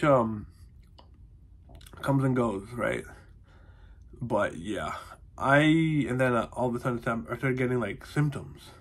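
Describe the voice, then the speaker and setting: A man's voice: mid-range voice, breathy edge. Young man, blocked nose, congested, rambling, lots of fillers, close phone mic, small home room. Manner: rambling, heavy on fillers and "honestly". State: congested, nasal from a cold. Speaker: vlogger. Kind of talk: casual vlog update. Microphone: phone or webcam close to the face.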